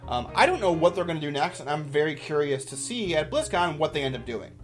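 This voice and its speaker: A man's voice: on the high side, very animated, fast talker, soft background music. A man giving casual, off-the-cuff commentary as a YouTuber, with an enthusiastic, rambling manner.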